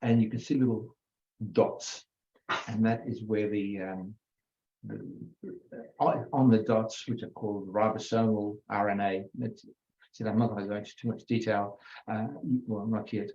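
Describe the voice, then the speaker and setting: An older man giving an informal biology lecture, halting with many fillers and self-corrections. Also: fairly low pitch, flat delivery, dark tone. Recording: echoey room, dull lo-fi sound.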